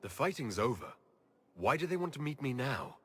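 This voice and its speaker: A male elf hero in a fantasy game, with a husky, bright-edged voice that moves a lot in pitch. He is weary and understated, with dry wit, and music sits faintly behind him. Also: very animated, normal speed. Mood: wry. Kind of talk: video game cutscene voice acting.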